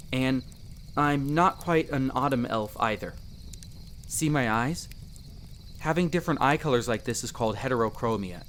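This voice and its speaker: A man playing a friendly, earnest young elf explorer in roleplay audio, explaining things chattily with hesitant pauses. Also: mid-range voice, a bit bright, slight background noise, natural voice. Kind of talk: character roleplay audio addressed to the listener.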